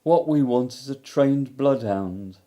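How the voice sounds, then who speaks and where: A man voicing a gloomy, melancholy old male donkey who grumbles slowly and mournfully. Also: medium pitch, animated, warm tone, voice pushed up. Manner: slow, mournful, self-pitying.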